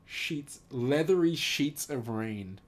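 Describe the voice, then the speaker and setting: A man's voice: mid-range voice, expressive, takes it slowly. A man casually reading aloud and commenting as host, amused and giggly, swearing now and then.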